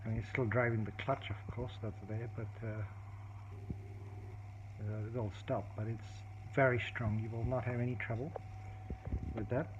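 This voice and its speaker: A man's voice: mid-range voice, little pitch movement. Man explaining a repair over noisy audio. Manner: halting, explanatory, with many fillers and restarts. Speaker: hobbyist repairer. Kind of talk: casual repair demonstration. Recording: dull, lo-fi audio with clear background noise and clicks.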